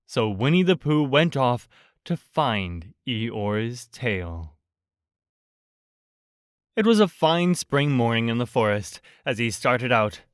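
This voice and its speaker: A man narrating a bedtime storybook as an audiobook reader, in a measured, expressive storytelling manner. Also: mid-range voice, clean tone, no echo, studio quality.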